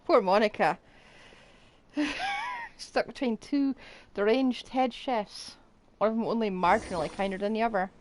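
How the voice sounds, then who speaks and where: A woman's voice: mid-range voice, moderate pace, long pauses, a little mellow, a little hiss or hum. A woman streaming a video game, giving casual, dry and wry live commentary. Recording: game music behind.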